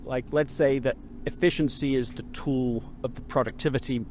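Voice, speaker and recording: A man hosting a podcast interview, talking in a halting way with many repeated starts as he reflects and builds ideas aloud. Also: medium pitch, fairly even intonation, darkish tone, slight background noise. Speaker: podcast host. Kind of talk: conversational interview.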